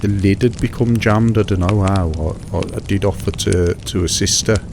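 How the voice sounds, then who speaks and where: A middle-aged British man in a monotonous, soothing one-sided chat, hesitant and rambling, repeating himself over mundane small details. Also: on the low side, moderate pace. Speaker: host of a sleep relaxation show. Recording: steady background noise, fireside crackle.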